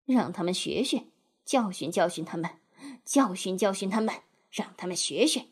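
Anxious storybook water rat played by a woman: meek, soft, hesitant, dropped pitch. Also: animated, normal speed, breathy edge. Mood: muttering.

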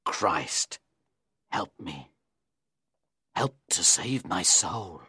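A man's voice: fairly low pitch, very animated, takes it slowly, pushed and bright, no echo, heavily acted. A man playing an anguished, defiant scholar in a dramatised audiobook, bitter and impassioned. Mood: pleading. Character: Faustus, anguished defiant scholar bound to the devil.

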